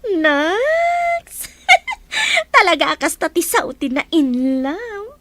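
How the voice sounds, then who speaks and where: An actress playing a teasing, gushing young friend who longs for romance in a radio drama. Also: female voice, extremely high pitch, sing-song, extremely slow, a bit bright, no echo, full character voice. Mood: gushing, wistful.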